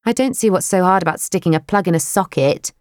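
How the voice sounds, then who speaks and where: A woman's voice: fairly low pitch, quick pace, a little mellow, no room sound, clean studio sound, close to an everyday voice. A woman voicing a tired, small young woman working in a shop, speaking in a weary, self-deprecating way.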